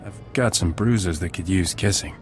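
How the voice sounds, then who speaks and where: An actor playing a suave, flirtatious smuggler captain in a video game, with a smooth way of talking. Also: male voice, low voice, normal speed, faint music behind, natural voice.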